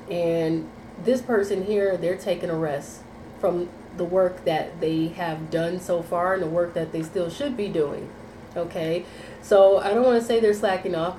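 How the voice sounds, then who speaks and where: A woman's voice: on the low side, dark tone, roomy sound, a little hiss or hum, low-quality recording. A woman giving a casual, rambling tarot reading. She sounds nasal from sinus congestion and keeps checking in with "okay?" and "you know". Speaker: tarot reader.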